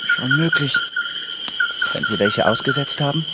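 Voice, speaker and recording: A man's voice: medium pitch, expressive, a little slow, very noisy, lightly acted. A man playing a practical, curious expedition companion in a science fiction radio drama, speaking tersely. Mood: matter-of-fact.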